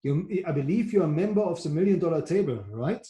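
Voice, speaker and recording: Male interviewer, higher voice, mellow tone, polite and brief, roomy echo. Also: animated, fairly quick. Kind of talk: interview questions.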